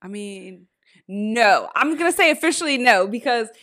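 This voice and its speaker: A woman's voice: on the high side, expressive, moderate pace, fairly bright tone. A young woman co-hosting a podcast, talking bluntly and profanely in casual chat, full of fillers and quoted reactions. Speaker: podcast co-host.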